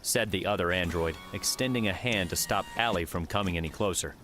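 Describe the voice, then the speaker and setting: Deep-voiced man narrating an audiobook. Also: brisk, pushed and bright, a little hiss or hum. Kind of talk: scripted narration.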